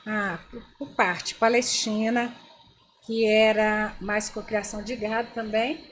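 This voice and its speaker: A woman's voice: mid-range voice, slow pace, slight background noise. An older woman from Brazil, a guest in an interview, telling stories from her past and speaking directly to the people she is talking with. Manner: animated, addresses listeners directly. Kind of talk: storytelling interview.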